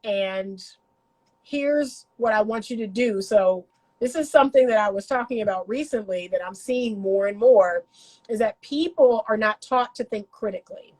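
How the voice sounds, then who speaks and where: A woman's voice: medium pitch, normal speed, darkish tone, poor audio. A woman, congested from a cold, giving casual relationship-coaching commentary to her viewers, emphatic and repeating her points.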